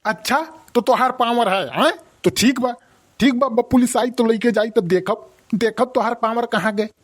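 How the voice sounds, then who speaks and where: A man's voice: very high voice, normal speed. Man acting cartoon village father, Awadhi accent, sarcastic, scolding, exasperated, rustic comedy. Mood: indignant mocking. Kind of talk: comic rustic cartoon dialogue.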